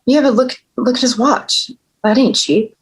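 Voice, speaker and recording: An actress playing a cool, sarcastic, skeptical homicide detective at a table read of a TV crime drama. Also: female voice, on the high side, measured pace, husky. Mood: pointed. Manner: dry, sarcastic questioning.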